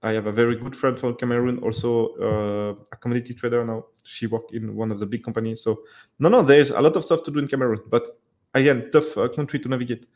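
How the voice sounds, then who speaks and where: A man's voice: medium pitch, steady tone, a little mellow. Man, thirties, live webcam host, casual, hesitant, filler-heavy, dim indoor room. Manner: halting, many fillers and restarts, informal "man". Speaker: livestream host answering viewer questions. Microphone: headset or desk mic, webcam framing.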